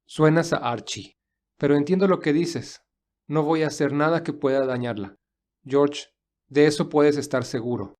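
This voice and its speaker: A man playing an earnest, defensive young gentleman in love in an audio drama, speaking in a measured way. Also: on the high side, lightly acted. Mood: resolute.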